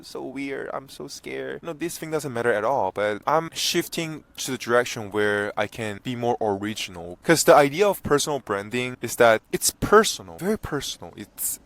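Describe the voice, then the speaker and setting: A man's voice: medium pitch, expressive, moderate pace, few pauses, fairly bright tone. A 23-year-old man from South Korea and southern China, a native speaker of Korean and Mandarin, talking casually and candidly as a vlogger, often restarting his phrases. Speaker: vlogger and language content creator. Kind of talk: casual personal vlog talk to camera.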